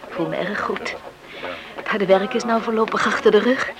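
A woman's voice: medium pitch, moderate pace, crowd noise, lightly acted. Devoted young village sweetheart played by a woman. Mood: affectionate, calm. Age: young adult. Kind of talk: Dutch radio play acting.

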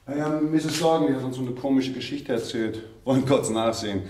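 An actor playing a polite, chatty police sergeant in a radio crime play, matter-of-fact and folksy. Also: male voice, medium pitch, brisk, a little mellow, reverberant, distant mic, close to an everyday voice. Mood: polite, unhurried. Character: unsuspecting, chatty male village-call police sergeant.

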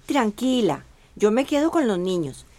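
A woman playing a tired, bedridden aunt in a radio drama, gently reassuring. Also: fairly high pitch, big pitch swings, a little slow. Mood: weary, reassuring. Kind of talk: Spanish-language radio drama acting.

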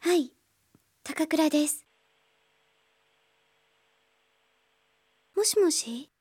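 Timid young woman, high voice, uneasy, radio drama. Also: expressive, measured pace, darkish tone, dry recording, clean studio sound. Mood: startled.

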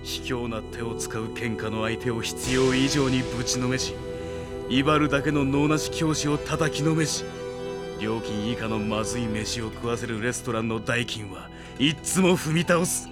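A tough teenage delinquent boy, acted by a man, rough-spoken and coolly righteous. His voice sits at a middling pitch, in anime audio drama style, over clear dramatic music. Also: moderate pace, natural voice. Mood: grim, righteous. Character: tough, defiant teenage male delinquent hero.

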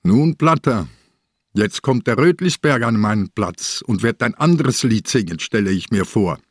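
An old, ailing police detective in a crime radio play. His voice is low and close, with a shrewd, wry manner and deliberate delivery. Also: expressive, dry recording. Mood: friendly. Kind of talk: radio drama acting.

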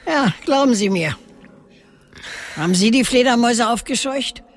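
An older actress playing a knowing, eccentric cave dweller, matter-of-fact and reminiscing, in a radio drama. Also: female voice, fairly high pitch, animated, moderate pace. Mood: knowing, brisk. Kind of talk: naturalistic radio drama acting.

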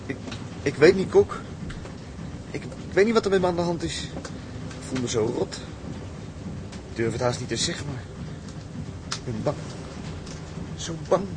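A man's voice: on the high side, expressive, measured pace, long pauses, noisy background. A man acting a scared sixteen-year-old galley boy in a Dutch radio drama, timid and hesitant. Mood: frightened.

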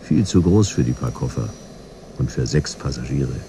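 A laconic private eye tells his case in a radio play. The voice sits extremely low with a gravelly creak, delivered dry and deadpan. A vehicle engine rumbles behind it. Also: moderate pace, noisy background. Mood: dry, wry. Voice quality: very deep, gravelly. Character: laconic male detective narrating his own case. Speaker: narrator and lead character. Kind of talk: noir radio drama narration and dialogue.

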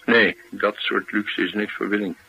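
An actor playing a dry, sardonic, reticent former bodyguard troubled by an old head wound, in a Dutch radio drama. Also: male voice, on the low side, level tone, normal speed, sharp tone, breathy edge, muffled recording, exaggerated voice.

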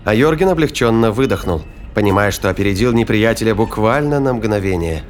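A man's voice: fairly low pitch, animated, brisk. A man narrating a science fiction thriller as scripted, dramatic audio fiction.

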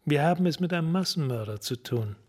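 Bullying, cynical cop for radio crime drama; fairly high pitch, clipped blunt delivery. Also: male voice, lively intonation, fast, a little mellow. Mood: menacing. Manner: blunt, terse, hard-boiled. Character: tough, bullying, cynical male American police detective.

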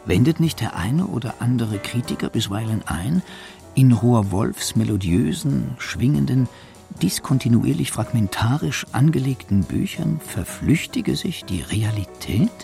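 Guy doing scripted arts radio narration, formal and bookish, bit of vocal fry, faint music under. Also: mid-range voice, animated, a little slow, mellow. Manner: formal, erudite.

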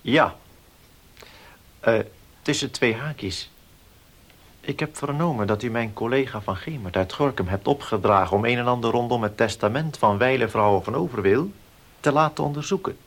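An actor playing a formal notary in a Dutch radio play, speaking precisely and with measured care. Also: male voice, mid-range voice, natural voice. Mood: businesslike. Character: formal, measured male village notary reading a will.

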